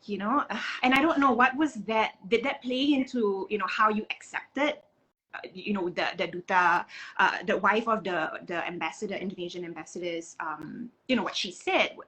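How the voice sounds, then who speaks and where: A woman's voice: medium pitch, moderate pace, a bit bright, low-quality recording. A woman hosting an interview in a reflective, casual way, hesitantly searching for her words. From Singapore. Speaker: interview host.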